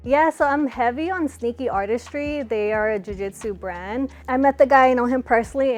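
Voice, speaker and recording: A young woman from California answering interview questions in a relaxed, chatty way, with lots of fillers. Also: on the high side. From California, USA. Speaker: interviewee, fighter.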